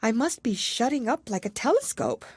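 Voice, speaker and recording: A woman's voice: on the high side, sing-song, normal speed, slightly rough, dry recording, pitched above the performer's own voice. A woman voicing a curious, polite little girl in a storybook, thoughtful and given to talking to herself. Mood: curious, surprised.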